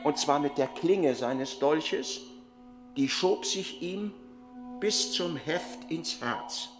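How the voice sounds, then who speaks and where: A male radio play narrator delivers literary prose with drama. His voice sits a little high and moves very slowly, with music clearly playing behind it. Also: animated.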